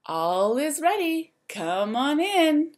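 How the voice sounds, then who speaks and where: A woman's voice: high voice, very animated, takes it slowly. A woman in her thirties, a teacher reading a picture book aloud to young children in a sing-song way, speaking to them directly.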